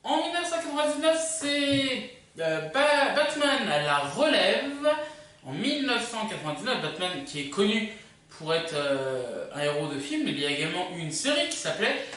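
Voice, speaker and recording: A video host giving a casual, unscripted countdown in a hesitant, halting way, often correcting themselves. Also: medium pitch, big pitch swings, fairly bright tone. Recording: very echoey room, far from mic.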